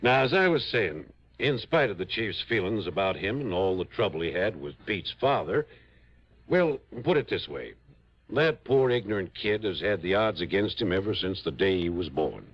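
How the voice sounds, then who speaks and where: An actor playing an earnest, articulate, worried small-town public defender in an old-time radio drama. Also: male voice, low-pitched, big pitch swings, normal speed, exaggerated voice. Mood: earnest, sympathetic. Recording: dull vintage radio recording.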